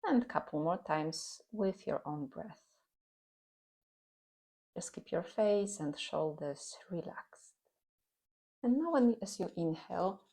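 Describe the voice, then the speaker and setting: A woman teaching guided meditation and gentle yoga in a calm, soothing, instructional manner. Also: medium pitch.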